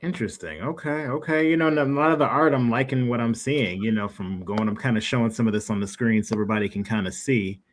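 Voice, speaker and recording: Guy hosting a casual livestream interview, normal pitch, some noise in the background. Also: fast, few pauses. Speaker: podcast host and interviewer.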